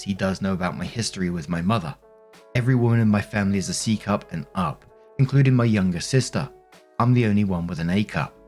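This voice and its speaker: A man's voice: lowish voice, a little mellow. A man narrating online posts, reading steadily and adding brief casual asides. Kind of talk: reading posts aloud with commentary.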